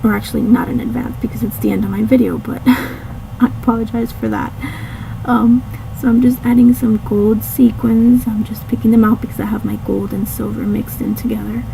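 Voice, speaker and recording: A woman with a slightly hoarse, raspy voice casually narrating her craft video, rambling with many ums and restarts. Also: a little high, flat delivery, moderate pace, warm tone, slight background noise, poor audio. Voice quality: raspy, catching a cold. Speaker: scrapbooking channel host.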